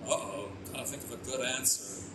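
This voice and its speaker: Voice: medium pitch, brisk, fairly bright tone, roomy sound, a little hiss or hum, lightly acted. A performer voicing the sardonic, scheming inner thoughts of a baby with an army ranger past, in a live radio play. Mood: wry. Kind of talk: live old-time radio play acting.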